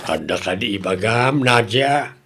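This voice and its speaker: A man's voice: lowish voice, animated, unhurried, fairly bright tone, a little hiss or hum. A man with an Ilocano Filipino accent playing a nagging, cautious rural husband who questions and admonishes in a radio comedy drama. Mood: concerned.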